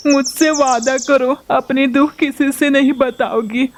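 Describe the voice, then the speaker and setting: Kind male cartoon bird voiced by a woman, high-ish, calm and coaxing. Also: a little hiss or hum. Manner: soothing, coaxing. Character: gentle, reassuring male bird, husband.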